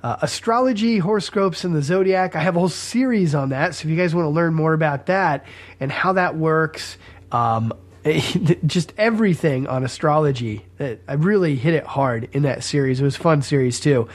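Male podcast host with a head cold, a touch high-voiced, congested and chatty. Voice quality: congested, scratchy throat. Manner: casual and chatty, coughs and pauses. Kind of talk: teaching podcast monologue.

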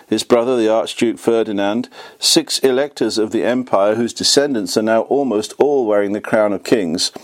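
A man reads a history book aloud at a steady, measured pace. His voice is slightly hoarse and sits at an ordinary middle pitch. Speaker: reader.

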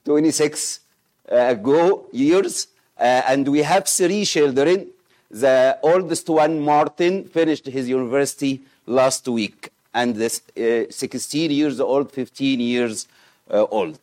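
Slow Egyptian-accented missionary preacher. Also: male voice, mid-range voice, a little mellow. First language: Arabic. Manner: halting, many pauses and fillers. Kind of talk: sermon with scripture reading and prayer.

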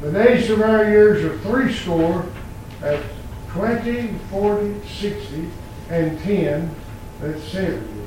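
An old man in his eighties preaching a sermon in a church, quoting scripture in slow, emphatic phrases. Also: high voice, animated, darkish tone, a little hiss or hum. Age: 80s. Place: church sanctuary. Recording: very echoey church from far off.

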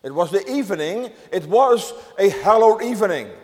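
Older man, from the Netherlands, pastor, high-pitched, church sermon, long echoing reverb. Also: lively intonation. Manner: measured preaching with emphatic rises.

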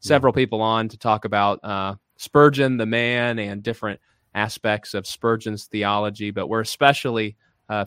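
A man's voice: fairly low pitch, a bit bright, smooth, no room sound, clean studio sound. A man hosting a podcast interview, welcoming his guest in a friendly, formal way with many fillers. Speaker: podcast host.

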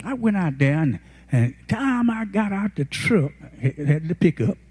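Elderly male pastor, husky voice, high-pitched, sweeping lively delivery, fervent folksy preaching. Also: a little slow. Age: 80s. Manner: impassioned, folksy preaching with shouted peaks. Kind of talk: sermon.

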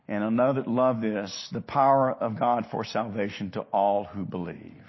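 Male preacher, husky mid-pitched voice, earnest, emphatic, dynamic from hushed to loud, repeats phrases, slightly muffled recording. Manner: rhetorical questions and repeated phrases. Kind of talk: expository sermon.

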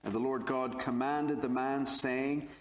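A man from Northern Ireland with a Belfast accent, giving a theology lecture in a measured, deliberate way with touches of dry humor. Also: medium pitch, steady tone. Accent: Belfast, Northern Irish. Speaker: guest lecturer. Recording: echoey lecture hall.

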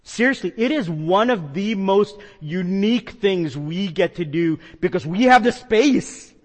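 A man's voice: sits high, expressive, unhurried, long reverb tail. A man from the New York metro area preaching a sermon as a pastor, earnest and conversational with some fillers. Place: church sanctuary.

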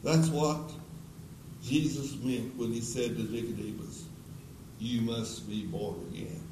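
A man's voice: medium pitch, steady tone, unhurried. A man in his eighties preaching the closing of a sermon in a church auditorium, pleading earnestly with repeated questions and leading an altar call. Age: 80s. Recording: echoey auditorium from a distance with noise.

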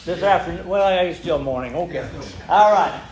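A man's voice: sits high, normal speed, a little mellow. A man, preaching a folksy sermon and calling out for amens. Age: 70s. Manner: folksy, emphatic, calls for amens. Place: church sanctuary. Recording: very echoey church room.

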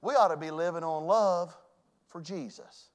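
A man preaching a sermon in church, swinging between loud calls and hushed, earnest asides. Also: on the high side, moderate pace. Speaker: pastor.

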